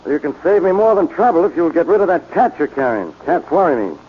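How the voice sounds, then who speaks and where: A man playing a gruff, impatient police inspector in 1940s radio acting. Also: fairly high pitch, big pitch swings, quick pace, slight background noise. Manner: brusque, irritable. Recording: muffled old radio recording, off-mic.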